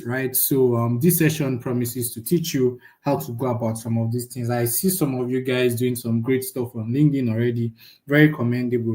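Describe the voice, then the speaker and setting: A young man teaching a live online class in a chatty, casual way, often checking in with the audience. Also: mid-range voice. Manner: chatty, frequent "right?" tags, lively jumps in loudness. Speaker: online course instructor.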